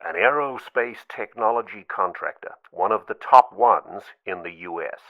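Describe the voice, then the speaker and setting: A man's voice: lowish voice, moderate pace, no room sound, narrow, tinny audio, lightly acted. A man playing a guarded senior admiral in a dramatic reading, recounting secrets in clipped phrases. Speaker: co-host reading a part. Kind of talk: dramatic cold reading of a memo transcript.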